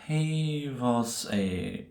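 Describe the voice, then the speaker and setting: A man playing Death as a grave, gentle reaper who speaks in a measured, deliberate way in an audio roleplay. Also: medium pitch, animated. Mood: calm, reflective. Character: solemn, gentle male Death, a reaper who was once human. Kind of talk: cinematic audio roleplay acting. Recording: roomy, reverberant sound.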